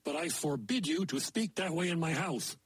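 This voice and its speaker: A man's voice: a little high, animated, normal speed, husky, dry recording, clean studio sound, heavily acted. A man voicing a prim, sugary-sweet mother speaking to her daughters.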